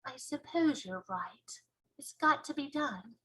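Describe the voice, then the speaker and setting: A woman voicing a small young girl in a storybook, earnest and plucky, with a soft, polite, questioning way of talking. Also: high voice, animated, takes it slowly, husky, voice pushed up, full character voice, low-quality recording. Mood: reluctant, worried.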